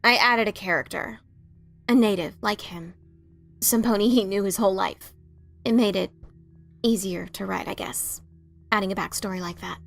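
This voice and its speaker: A woman voicing a brash, adventurous pegasus mare in a theatrical, expressive fan fiction reading. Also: medium pitch, moderate pace, vocal fry, pauses often, soft background music, close to an everyday voice. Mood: subdued. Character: brash adventurous pegasus mare, writer, bickering older sibling.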